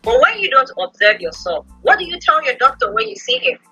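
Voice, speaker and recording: A woman's voice: high voice, huge pitch swings, a little fast, bright, forceful tone, no echo, highs cut off, soft background music. A woman, a podcast guest giving instructive advice and often checking with tag questions.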